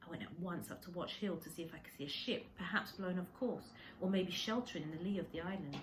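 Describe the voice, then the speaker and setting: A woman with a low voice reads a children's story aloud in the expressive way of a primary teacher. She leans dramatically on the descriptive words. There is clear background noise on the amateur recording. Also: fast.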